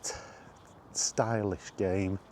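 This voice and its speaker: A middle-aged man giving a casual, rambling chat as he walks outdoors, in an unhurried, offhand manner. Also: low voice, little pitch movement, a little mellow. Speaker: video game vlogger. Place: outdoors, walking toward a park. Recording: outdoor walking recording with background noise.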